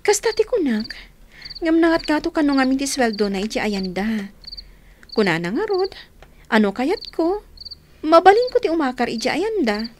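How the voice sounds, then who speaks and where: High, lively young girlfriend. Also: normal speed, pauses often, noisy background. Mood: confiding. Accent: Filipino. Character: Maureen, young woman office worker, loyal, wistful girlfriend. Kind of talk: radio drama acting.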